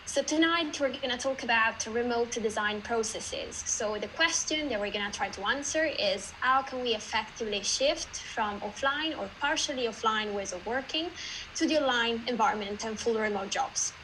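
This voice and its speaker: A woman from Italy with an Italian accent, giving an online meetup presentation in a lively, chatty way with many "uh" fillers. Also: a little high, moderate pace, background noise.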